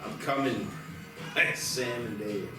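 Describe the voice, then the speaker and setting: In a very echoey room with music behind, a man narrates screenplay stage directions. His voice is mid-pitched and sits back from the mic. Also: slow, far from the mic. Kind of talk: scripted narration at a table read.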